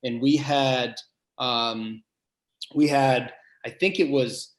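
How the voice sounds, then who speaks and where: A man with a big voice who is a head basketball coach, answering podcast questions earnestly and thinking aloud with pauses and restarts. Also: medium pitch, fairly even intonation.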